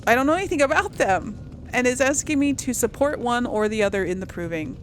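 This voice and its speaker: A woman's voice: a little high, animated, fairly quick, a little hiss or hum. A woman hosting a casual gaming let's play, thinking aloud in a hesitant, conversational way. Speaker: gaming video host.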